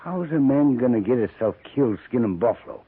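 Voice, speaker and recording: An actor playing a gloating, menacing buffalo skinner with a folksy frontier drawl in a 1950s western radio drama. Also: male voice, medium pitch, animated, a little fast, phone-quality sound, close to an everyday voice. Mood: scornful, boastful. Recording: noisy, thin 1950s radio recording.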